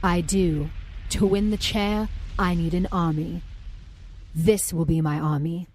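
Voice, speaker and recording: A woman's voice: on the low side, steady tone, measured pace, a little mellow, slight background noise, close to an everyday voice. Woman acting a solemn, determined throne claimant. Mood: resolute. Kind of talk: fantasy audio drama acting.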